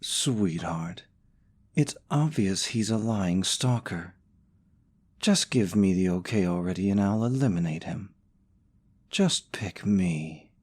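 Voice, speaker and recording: A man's voice: sits low, expressive, slow, darkish tone, breathy edge, full character voice. A young man acting in an audio roleplay as a scheming, possessive boyfriend, falsely sweet and coaxing, snide about his rival. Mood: mocking, insistent.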